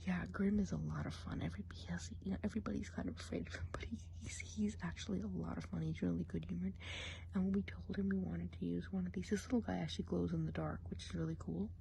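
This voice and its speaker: A woman playing a gossipy witch of the woods in an ASMR role play, chatting along in a soft, rambling whisper. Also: lowish voice, steady tone, fast talker, gravelly, a little hiss or hum. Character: gossipy female forest witch.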